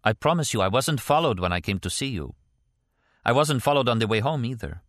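A sharp, teasing young woman of an audiobook as a man acts her out. The pitch sits raised above the reader's own, with a pert, forthright delivery. The recording is dry. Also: sing-song, a little fast, no room sound. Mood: reassuring. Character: sharp, teasing adult daughter of a defector.

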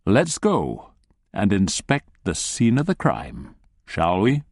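A man puts on a cruel thug who speaks lower and softer than his narrating voice, calm and amused while he threatens. The voice sounds dry and close, with no room around it. He never rushes and lets each mocking word settle. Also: vocal fry, no room sound, exaggerated voice. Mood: quiet menace. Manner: soft, unhurried, mocking.

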